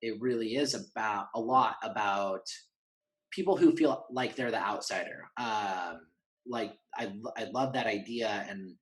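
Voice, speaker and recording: A man's voice: fairly low pitch, unhurried, a bit bright, low-quality recording. A man chatting casually as an interview guest, rambling enthusiastically and acting out little imagined exchanges. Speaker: interview guest, horror fan and writer. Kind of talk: casual conversational chat.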